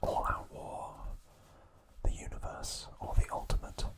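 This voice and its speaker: A man speaks entirely in a breathy, unvoiced whisper, drawing out each phrase slowly for an ASMR recap. He trips now and then over unusual names and quietly corrects himself before moving on. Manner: slow, unvoiced whisper with small slips and mispronunciations. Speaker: ASMR host.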